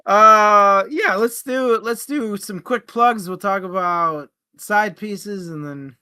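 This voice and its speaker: A man's voice: high-pitched, takes it slowly, bright, forceful tone, clean tone. A man co-hosting a book discussion show, chatting casually in an informal, jokey and sometimes profane way. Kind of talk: casual book chat with plugs.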